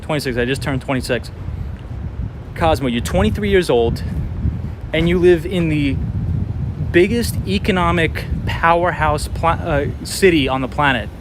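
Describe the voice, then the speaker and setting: A man's voice: on the high side, moderate pace. A man in his twenties from New York City, chatting casually and encouragingly with livestream viewers while out walking on a city street at night. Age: 20s. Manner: animated, encouraging, talks to viewers by name. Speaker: livestream host. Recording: outdoor street traffic noise.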